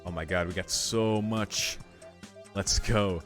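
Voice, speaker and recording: A man's voice: low-pitched, steady tone, takes it slowly, fairly bright tone, soft background music. A man casually reading and reacting to a visual novel as a let's play streamer, emotive and chatty. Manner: emotive, reactive, laughing asides. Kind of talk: casual game commentary and reading aloud.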